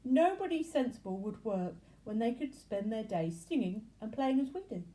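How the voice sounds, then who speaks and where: A woman's voice: on the high side, expressive, normal speed, dark tone, natural voice, rough recording. Woman as a twittering, mocking storybook grasshopper. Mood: gleeful. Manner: twittering, singsong. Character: mocking, carefree, singing grasshoppers.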